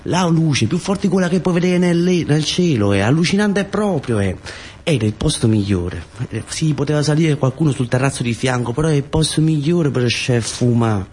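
A man's voice: a little high, lively intonation, normal speed, darkish tone, slightly rough. A man with a southern Italian accent from the Taranto area performs a colloquial narrative monologue, insistently repeating words and phrases. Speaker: actor-storyteller.

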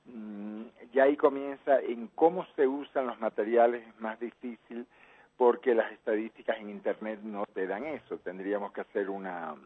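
A man from Cuba speaks as a guest over the telephone, his low voice husky and slightly worn. He talks in a measured, thoughtful way with frequent pauses. The line is thin and tinny, like a phone call. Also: steady tone. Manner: measured, thinking aloud with many pauses. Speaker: interview guest, radio producer. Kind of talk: phone interview.

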